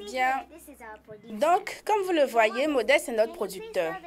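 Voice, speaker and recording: Woman, high-pitched voice, children's presenter, explanatory, addressing young viewers. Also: very animated, takes it slowly. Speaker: children's cartoon voice actor, presenter. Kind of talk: presenting to children, behind-the-scenes segment. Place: recording studio. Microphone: studio microphone.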